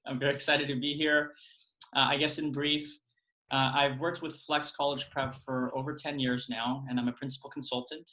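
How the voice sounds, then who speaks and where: A man's voice: medium pitch, level tone, moderate pace, bright, forceful tone, poor audio. A man working as a college admissions consultant, introducing himself on a video call in an earnest, hesitant way with many fillers.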